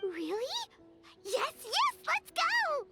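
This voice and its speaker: An extremely high, squeaky little fairy girl from a video game. She is excitable and childlike, drawing words out slowly with huge swoops in pitch, over prominent music. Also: heavily acted. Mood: excited. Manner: exuberant, speaks of herself in third person. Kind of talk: animated game character acting.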